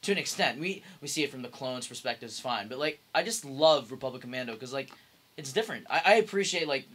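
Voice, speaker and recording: A man's voice: medium pitch, expressive, quick pace, fairly bright tone. A young man chatting casually with friends about games, rambling emphatically and swearing freely.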